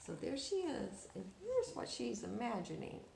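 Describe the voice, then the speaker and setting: High-voiced, animated woman reading a picture book. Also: moderate pace, vocal fry, a little hiss or hum. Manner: asks listeners questions, draws out words. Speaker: storyteller, children's read-aloud host. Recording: echoey room.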